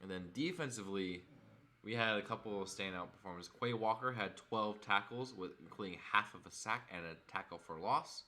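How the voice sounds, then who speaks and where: A hoarse young man, a frustrated fan, recapping a football game and reading off stats in a casual vlog. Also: fairly low pitch, fairly quick, pushed and bright. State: hoarse from screaming.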